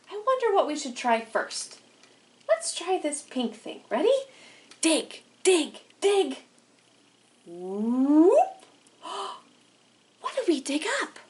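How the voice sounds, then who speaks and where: A woman leading an interactive storytime for young children, animated and sing-song, asking questions with exaggerated wonder. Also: high voice. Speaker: children's librarian hosting storytime.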